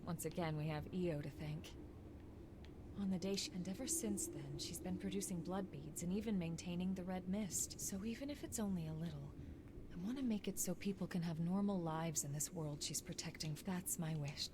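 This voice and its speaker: A woman's voice: lowish voice, normal speed, close to an everyday voice. A woman playing a composed, idealistic female figure in a video game cutscene. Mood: calm, hopeful. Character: composed, idealistic woman working to rebuild a world. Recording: noisy game stream capture.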